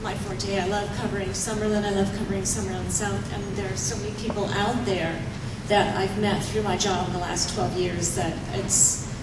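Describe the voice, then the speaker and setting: A woman with a low voice, chatting through anecdotes to an audience in a room and laughing often as she talks. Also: little pitch movement, moderate pace, hardly any pauses. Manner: chatty, laughs often while telling stories. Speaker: newspaper writer giving a talk. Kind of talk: casual anecdotal speech to an audience. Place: event room. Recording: echoey room, from a distance, noisy.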